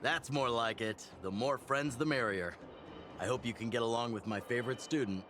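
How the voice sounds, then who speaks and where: A man voicing a genial middle-aged town chief and mentor in a game, relaxed and teasing in a fatherly way. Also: mid-range voice, normal speed, a little hiss or hum, close to an everyday voice. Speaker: community chief.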